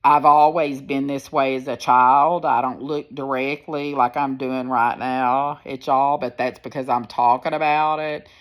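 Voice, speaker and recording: A woman in her sixties with a deep, firm voice, talking candidly and rambling a little as she speaks to her viewers into a phone. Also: steady tone, measured pace, few pauses. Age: 60s. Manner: candid, rambling, plain-spoken. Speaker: personal vlogger. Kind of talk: casual personal storytelling to viewers.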